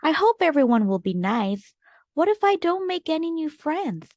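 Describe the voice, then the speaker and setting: A woman voicing a shy little girl in a new home, speaking softly and anxiously. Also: high voice, very animated, normal speed, dry recording. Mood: worried. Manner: soft, worried, wistful.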